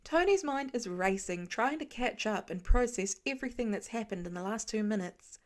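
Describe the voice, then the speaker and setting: A woman narrating a fan story aloud in an expressive storytelling manner. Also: on the high side, normal speed. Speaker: podfic reader. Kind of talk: audiobook fan reading.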